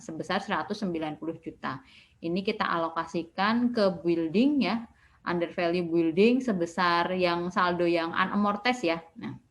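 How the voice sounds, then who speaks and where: A woman teaches in a recorded online lecture. Her voice sits a little low for a woman. She explains step by step in a didactic manner, often adding short tag words to confirm each point. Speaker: accounting lecturer.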